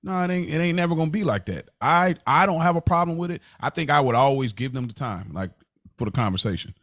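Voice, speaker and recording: A man co-hosting a podcast in casual chat, thinking his answers through out loud. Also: fairly high pitch, expressive, fast talker, no room sound. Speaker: podcast co-host.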